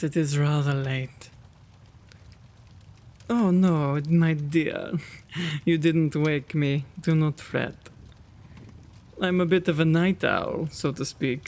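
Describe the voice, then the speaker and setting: A man plays a kind gentleman vampire for an ASMR roleplay. His voice sits a little high and has a creaky edge, and he takes his time with courtly, old-fashioned politeness, gentle and easily flustered. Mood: kind, soothing. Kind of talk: ASMR roleplay, intimate one-sided dialogue.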